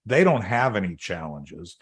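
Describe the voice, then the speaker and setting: Middle-aged male podcast host, low-pitched, loose and rambling delivery. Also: big pitch swings, measured pace, husky. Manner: rambling, many fillers and restarts. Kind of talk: casual interview chat.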